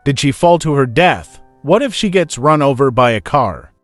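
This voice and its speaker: A man narrating an animated story voices a sleazy husband, shouting and flustered. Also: mid-range voice, moderate pace, dry recording, faint music behind, close to an everyday voice. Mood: panicked. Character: sleazy panicking cheating husband.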